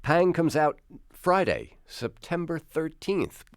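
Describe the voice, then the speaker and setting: Lively older male radio host. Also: on the high side, slow pace, clear, resonant tone, no echo, studio quality. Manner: lively, curious questioning. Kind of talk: radio interview. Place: radio studio. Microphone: studio mic with headphones.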